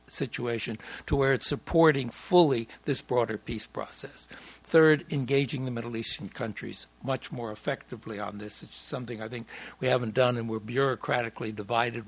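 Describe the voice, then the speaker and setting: A man, speaking, gives measured, hesitant remarks on a discussion panel, with frequent fillers and restarts. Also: mid-range voice, creaky. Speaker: panelist on foreign policy.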